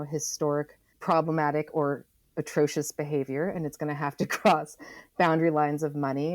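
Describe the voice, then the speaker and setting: A woman speaking as an interview guest, earnest and reflective, deliberately repeating phrases for emphasis. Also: sits low, dry recording. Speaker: interview guest, environmental writer.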